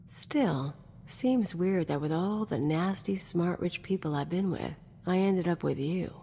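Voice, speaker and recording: A woman's voice: fairly low pitch, a little slow, dark tone, husky. A woman playing a brash, scheming, vindictive mercenary in a video game, with a cocky, sardonic, slangy delivery. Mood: teasing. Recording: long reverb, music behind.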